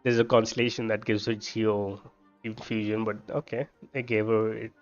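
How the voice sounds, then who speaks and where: A man's voice: on the low side, little pitch movement, moderate pace. A man giving casual gameplay commentary, thinking aloud as he plays. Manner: musing, thinking aloud while playing. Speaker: game streamer. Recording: dull lo-fi microphone sound. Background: game music.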